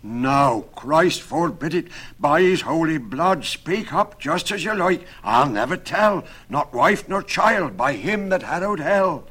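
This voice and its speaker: Storybook role: gullible working carpenter, acted by a male narrator, fretful and oath-swearing, voice rough-edged and swinging widely. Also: fairly high pitch, animated, slow pace, hardly any pauses, voice pushed up, exaggerated voice. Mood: frantic, exclaiming. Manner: exclamatory, oath-swearing, loud. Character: pious, credulous working-class carpenter, alarmed and fretful. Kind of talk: dramatised verse storytelling.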